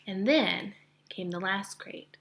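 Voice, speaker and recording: Bright, gravelly woman reading aloud. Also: mid-range voice, takes it slowly. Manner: dramatic storytelling, stops to explain narrative point of view. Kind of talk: expressive read-aloud with explanations.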